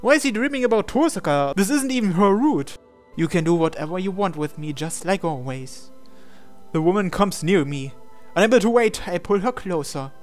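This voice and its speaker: A man's voice: high voice, animated, normal speed, faint music behind. A man reading a visual novel aloud as a gamer, breaking into casual, incredulous asides. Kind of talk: game playthrough narration with casual asides.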